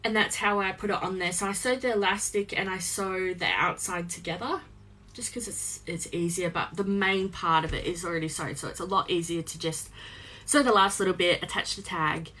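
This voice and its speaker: A young woman with a slightly croaky voice, chatting in a rambling way, full of ums, while she sews on a live stream from her home craft space. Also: on the low side, moderate pace, sharp tone, poor audio. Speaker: small business owner live-streaming crafts. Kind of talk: casual chat while sewing. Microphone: phone on a live stream.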